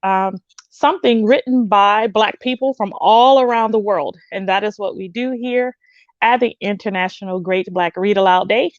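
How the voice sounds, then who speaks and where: A woman's voice: fairly high pitch, dry recording. Woman hosting an online read-aloud. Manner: welcoming announcements with filler ums. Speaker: host. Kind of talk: event hosting. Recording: dull lo-fi sound.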